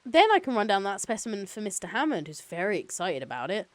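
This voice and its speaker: A woman's voice: mid-range voice, very animated, fast, no echo, close to an everyday voice. A woman voicing an eager, effusive male corporate lawyer on the phone as she reads a novel aloud. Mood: eager, businesslike. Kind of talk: novel read-aloud dialogue.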